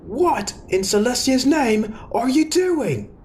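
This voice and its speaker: A woman's voice: on the high side, big pitch swings, slow, darkish tone, a little hiss or hum. A woman playing an indignant pony wagon owner in a fan audio drama, scolding in outrage. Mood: outraged. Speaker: voice actor.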